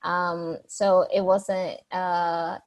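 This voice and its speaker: A woman's voice: on the low side, flat delivery, very slow, highs cut off. A young woman from Jamaica, answering interview questions in a chatty way, with many fillers and restarts. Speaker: guest.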